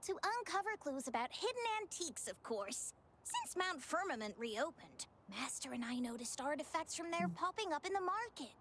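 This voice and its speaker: An actress voicing a perky, teasing young antiques expert in a video game, playful and chirpy. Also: female voice, high-pitched, sing-song, normal speed, fairly bright tone. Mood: breezy, explaining.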